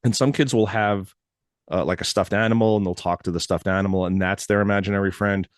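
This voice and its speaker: Hoarse, deep-voiced male podcast host. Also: fairly even intonation, brisk, no room sound, clean studio sound. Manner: rambling, loose, with frequent "uh" fillers. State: hoarse, froggy from recent illness. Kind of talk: casual solo podcast monologue.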